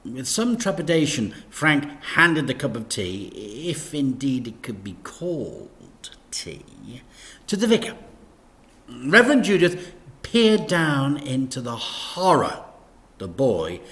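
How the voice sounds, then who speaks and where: A man reading a children's story aloud in an expressive, teacherly narration. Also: mid-range voice, takes it slowly.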